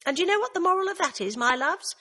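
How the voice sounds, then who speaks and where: A woman's voice: animated, fast, bright, forceful tone, full character voice. Woman putting on a camp, chattering man. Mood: teasing, camp. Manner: flamboyant, teasing, high-flown. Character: camp, chattering middle-aged man, joke-teller.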